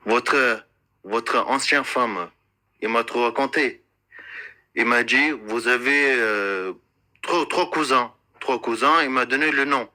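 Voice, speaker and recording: Insistent man with a very bright voice. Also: medium pitch. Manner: insistent, repetitive; halting, searching for words. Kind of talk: unscripted accusatory complaint in a conversation.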